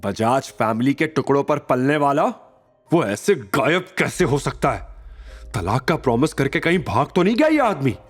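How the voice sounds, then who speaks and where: A man's voice: mid-range voice, very animated, moderate pace, soft background music, pitched above the performer's own voice. A man voicing an irritable middle-aged mother, grumbling and sarcastic, in an audio drama. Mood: suspicious.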